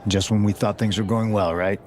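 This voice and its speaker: A man's voice: on the low side, steady tone, brisk, darkish tone, slight background noise, natural voice. A man playing a serious, pragmatic wrestler in a video game cutscene. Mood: concerned, matter-of-fact. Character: serious, pragmatic male wrestler delivering team updates.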